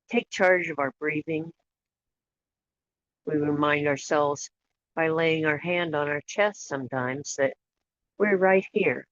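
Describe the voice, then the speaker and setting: Soothing meditation guide talking really slow w long pauses, lo-fi audio, bit of background noise and clicks. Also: mid-range voice. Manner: soothing, unhurried, with long pauses between short phrases. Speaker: guided imagery facilitator.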